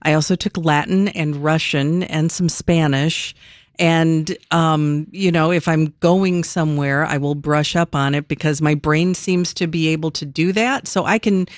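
A woman's voice: deep voice, steady tone, unhurried, no room sound. A woman co-hosting a casual radio talk show from a studio, easygoing and chatty, asking listeners questions. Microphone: studio microphone.

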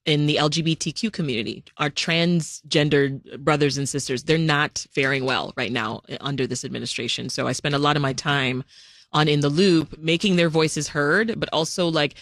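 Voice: medium pitch, fairly even intonation, normal speed, a bit bright, dry recording, clean studio sound. A radio host from Jamaica, talking in a casual, chatty interview, laughing often and using many fillers.

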